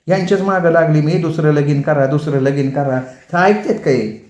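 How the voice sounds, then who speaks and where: A plain-spoken village woman talks in warm rustic dialect, a man putting her on. The voice sits well above his own and rings in a roomy echo. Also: normal speed, natural voice. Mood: refusing. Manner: raised falsetto-like pitch, earthy village speech.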